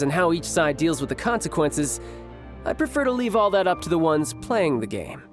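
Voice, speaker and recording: A young warrior and doting big brother in a video game. He is suave and playfully formal, with lively, swinging intonation and a cocky edge. Faint music sits behind him. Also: on the high side. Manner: smooth, polite, playful formality.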